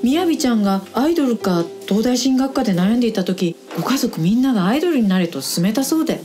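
A woman's voice: on the high side, lively intonation, quick pace, mellow, lightly acted. An actress playing a tipsy, lovelorn woman in a radio drama, sighing yet polite. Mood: wistful. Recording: music behind the voice.

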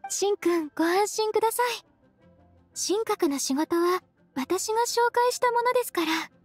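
Very high, gentle, polite young woman. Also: moderate pace, no echo. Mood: reassuring, polite. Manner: soft-spoken, formal, courteous. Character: gentle, polite, earnest young female secretary. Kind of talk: Japanese game voice acting. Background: music.

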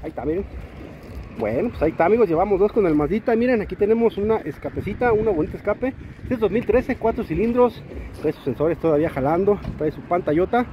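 A Mexican man showing cars at an outdoor market, talking in a lively, salesy way full of slangy diminutives. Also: high voice, fast, muffled recording. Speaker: used-car market vlogger. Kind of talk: walk-around car showcase. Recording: outdoor street noise.

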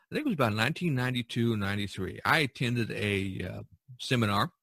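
Bright-voiced middle-aged male podcast host. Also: on the low side, dry recording. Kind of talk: conversational podcast commentary.

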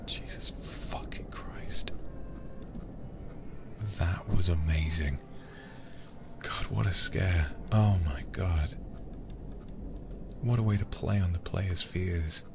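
A man streaming a horror game in ASMR style, speaking in a hushed voice and swearing freely. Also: deep voice, slow pace, gravelly, long pauses, noisy background. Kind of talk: ASMR game livestream commentary.

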